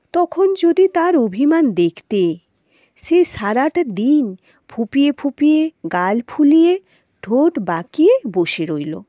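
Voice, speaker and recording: Slow, animated woman storyteller. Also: sits high, no room sound. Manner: dramatic, emphatic storytelling. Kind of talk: expressive narration of a children's story.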